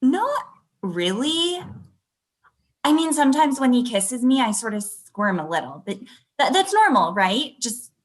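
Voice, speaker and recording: A fairly high-voiced young woman played by a woman at a table read. She is a hurt girlfriend, confrontational and frank, in a naturalistic relationship script. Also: sing-song, unhurried. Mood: uncertain. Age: young adult. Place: small room at home, video call. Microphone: computer video-call mic.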